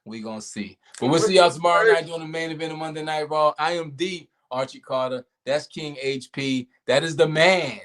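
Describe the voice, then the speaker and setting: Easygoing male podcast host, a bit hoarse. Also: a little high, a little fast, few pauses. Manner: laid-back, relaxed sign-off talk. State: losing his voice. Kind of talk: casual podcast chat.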